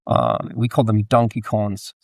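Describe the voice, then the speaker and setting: A man with a Danish accent speaking casually as an interview guest, in a somewhat halting, hedging way. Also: on the low side, little pitch movement, creaky, no echo, clean studio sound. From Denmark. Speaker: podcast guest, entrepreneur and author.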